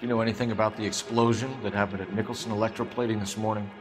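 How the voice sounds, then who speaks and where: A man's voice: fairly low pitch, flat delivery, racing, over music, natural voice. A man playing an earnest young police detective in a video game, questioning in a clipped, formal way. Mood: probing, formal. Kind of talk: video game cutscene acting.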